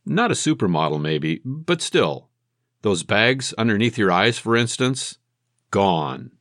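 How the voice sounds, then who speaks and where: A male plastic surgeon played by an actor in a crime audio drama. He is obliging and casually salesy, with a lowish, lively voice and a dry sound. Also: slow, no echo, exaggerated voice. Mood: casually reassuring.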